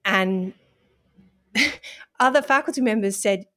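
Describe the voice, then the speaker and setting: A woman's voice: mid-range voice, slow pace, a bit bright. Thoughtful woman lecturer, hesitant. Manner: thoughtful, hesitant, with frequent fillers and restarts. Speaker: university lecturer, interview guest. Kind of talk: reading a passage aloud, then conversational interview answers.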